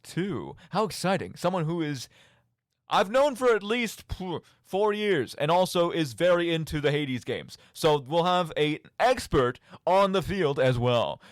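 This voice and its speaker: Young male radio host, lively swooping delivery, dry close sound, casual and jokey rambling. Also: a little high, dry recording. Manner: rambling, jokey, self-deprecating. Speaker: radio show and podcast host. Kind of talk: casual solo commentary on video games.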